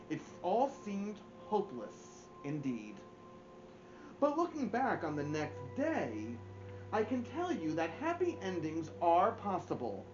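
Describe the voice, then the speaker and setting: A man in his thirties hosting story time, reading a children's book aloud with theatrical emphasis and expressive pauses. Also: on the high side, highs cut off. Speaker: story-time host and reader. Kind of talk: animated children's audiobook-style read-aloud. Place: small furnished room at home, indoors.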